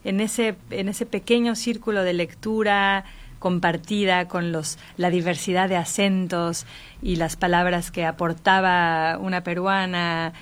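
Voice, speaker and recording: Casting: middle-aged Mexican woman, slightly low register, articulate writer in a thoughtful interview. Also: steady tone, measured pace. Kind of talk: reflective literary conversation.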